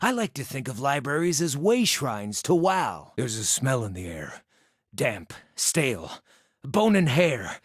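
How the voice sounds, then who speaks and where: A man voicing an irreverent, excitable, furry shapeshifting druid in a video game, with a wry, cheeky, theatrical delivery. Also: medium pitch, a little slow, husky, dry recording, studio quality. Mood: wry, musing. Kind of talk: video game character dialogue and battle barks.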